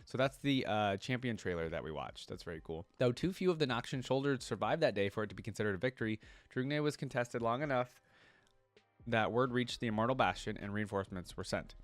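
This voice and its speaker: A man's voice: medium pitch, animated, brisk, no echo, soft background music. A man hosting a reaction video, reading lore aloud in a casual way with musing asides. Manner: musing asides between read-aloud passages.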